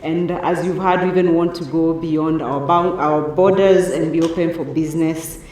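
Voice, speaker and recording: A woman's voice: deep voice, fairly even intonation, moderate pace, darkish tone. A woman answers an audience question formally as a bank representative. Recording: very echoey hall, faint noise.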